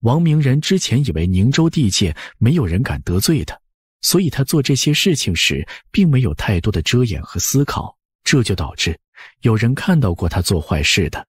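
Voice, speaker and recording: A man with a husky voice reading a dramatized scripted story as an audiobook narrator. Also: mid-range voice, sing-song, normal speed, no echo, clean studio sound.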